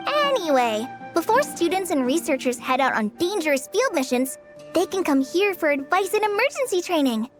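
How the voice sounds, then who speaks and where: A woman's voice: extremely high pitch, big pitch swings, moderate pace, soft background music. A woman voicing an earnest, polite teenage girl ranger in a video game, with a youthful, girlish sound.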